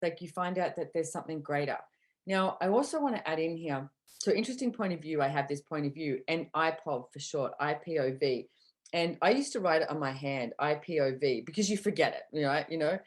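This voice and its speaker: Low-voiced woman giving an earnest motivational talk. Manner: earnest, conversational, with asides and repeated catchphrases. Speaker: podcast host and workshop facilitator. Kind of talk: motivational talk to a live audience.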